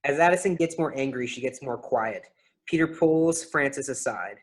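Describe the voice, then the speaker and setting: A man's voice: medium pitch, animated, normal speed. A man reading stage directions as scripted narration at a play reading.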